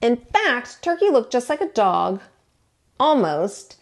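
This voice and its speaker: A woman reading a children's picture book aloud as a storytime teacher, in an expressive, deliberate way. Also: fairly high pitch. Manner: animated, deliberate storytelling delivery.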